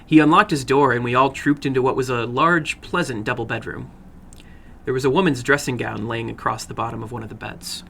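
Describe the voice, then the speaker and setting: A man narrates horror fiction in a dramatic read. His voice has a crisp, bright edge and plenty of creak, at a middling pitch. Faint noise sits behind him. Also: a little fast.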